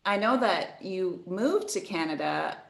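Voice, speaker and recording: A woman's voice: fairly low pitch, expressive, measured pace, creaky, fairly bright tone, roomy sound. A woman hosting a casual video-call interview, asking friendly, encouraging questions. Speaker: host, pianist.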